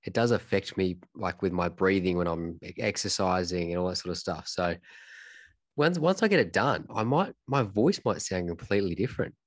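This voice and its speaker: A man's voice: deep voice, fairly quick, dry recording, clean studio sound. A man with an Australian accent and a nasal, congested voice, chatting easily as a podcast guest. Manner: relaxed, easygoing, repeats himself. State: congested from a cold. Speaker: racing driver and podcast guest. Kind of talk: casual chat.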